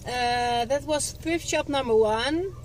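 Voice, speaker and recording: A woman's voice: sits high, takes it slowly, slight background noise. A middle-aged woman with a Dutch accent, chatting casually and a little hesitantly to a camera as a vlog host. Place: inside a car, later in a thrift shop. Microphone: camera on dashboard or at arm's length. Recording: loud background music over vlog audio. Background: music.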